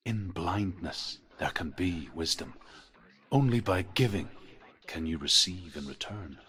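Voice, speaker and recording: Slow, deep, wise saga teller. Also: male voice, animated, a bit bright. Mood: grave, reverent. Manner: grave, deliberate. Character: solemn wise storyteller of the Northmen. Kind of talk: mythic narration in a game.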